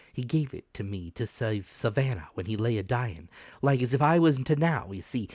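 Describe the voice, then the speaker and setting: Emphatic, ailing old pirate. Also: male voice, mid-range voice, no echo, clean studio sound, pitched above the performer's own voice. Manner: rustic sailor talk, emphatic. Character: ailing, drunken old pirate first mate, gruff and fearful.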